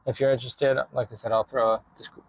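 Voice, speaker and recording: A man's voice: mid-range voice, little pitch movement, fast talker, no echo. A man narrating a hands-on DIY vlog in an informal way, thinking aloud as he works. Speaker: video creator showing a DIY radio install.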